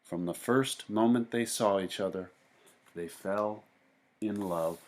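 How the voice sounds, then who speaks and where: A man reading a children's story aloud in a theatrical, expressive way. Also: on the low side, takes it slowly. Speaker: storybook reader. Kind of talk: animated children's story narration.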